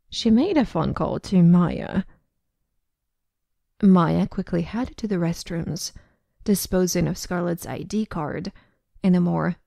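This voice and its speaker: A woman's voice: on the low side, gravelly, warm tone. A woman narrating a scripted story for an amateur audio drama.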